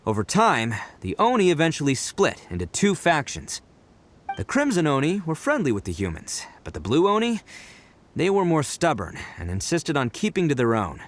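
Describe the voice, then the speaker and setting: An actor voicing a brash, boisterous, big-hearted male oni gang boss in a video game, talking loudly and boastfully and laughing often. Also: normal speed, a little hiss or hum. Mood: earnest. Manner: loud, boastful, laughs often, lively swings in pitch. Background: game music.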